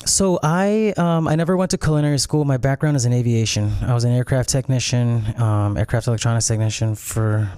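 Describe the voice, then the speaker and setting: Soft-toned man, hesitant and filler-heavy. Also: mid-range voice, brisk, hardly any pauses, clear, resonant tone, dry recording, clean studio sound. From Peru. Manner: hesitant, many fillers and restarts. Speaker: guest, restaurant founder. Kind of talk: casual interview conversation.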